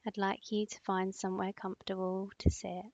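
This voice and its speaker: A woman leading a guided meditation in a soothing, instructing manner, with long pauses and breathy phrase endings. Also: medium pitch, completely flat delivery, darkish tone, dry recording. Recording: slightly muffled, dull close dry recording.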